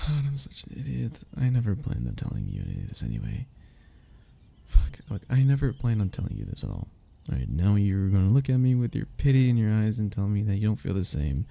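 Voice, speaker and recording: An anxious, lovesick young man played by a male actor in a romantic audio drama. His voice is low and husky with a gravelly edge, and it swings widely as he talks haltingly, murmuring and sighing with feeling. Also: very animated, warm tone, full character voice. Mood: panicked, heartbroken. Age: young adult. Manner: halting, emotional delivery with sighs and breathy murmurs. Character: lovesick, anxious young man confessing to childhood best friend. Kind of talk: intimate romantic audio roleplay.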